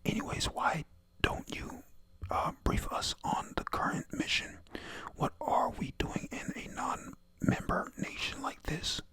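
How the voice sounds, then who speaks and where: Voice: unhurried, fairly bright tone, no echo, close to an everyday voice. Someone whispering a weary saint commander.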